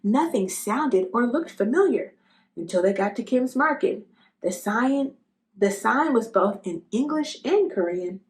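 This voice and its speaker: A woman reads a children's story aloud. Her voice sits fairly high and moves through a lively, wide range as she narrates expressively. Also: a little slow. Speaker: storybook reader.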